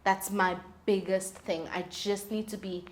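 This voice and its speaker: A woman's voice: medium pitch, fairly even intonation, measured pace, slight background noise. A woman talking candidly and earnestly as a guest in a conversational interview. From South Africa. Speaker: singer-songwriter, interview guest.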